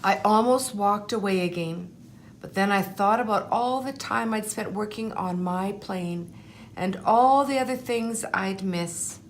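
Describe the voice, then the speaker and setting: A woman voicing a humble, anxious but determined egg telling his life story in a storybook, in an earnest, measured way. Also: mid-range voice, a little hiss or hum, lightly acted. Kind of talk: storybook first-person narration.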